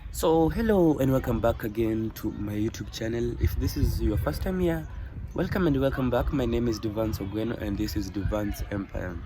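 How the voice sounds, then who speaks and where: A Kenyan man hosting a casual vlog, switching between English and Swahili with halting, drawn-out phrasing, outdoor noise around him. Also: mid-range voice. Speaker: vlog host.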